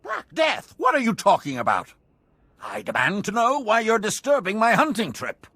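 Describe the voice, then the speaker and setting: A man's voice: sits high, huge pitch swings, normal speed, pushed and bright, slightly rough, dry recording, exaggerated voice. A man playing a haughty, indignant corporate administrator in a video game, pompous and imperious.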